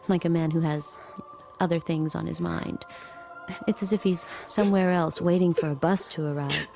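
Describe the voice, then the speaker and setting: A woman's voice: lowish voice, creaky, darkish tone. A woman in an audio drama playing a subdued, observant handmaid, narrating in an intimate, restrained way. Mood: wry, suppressed.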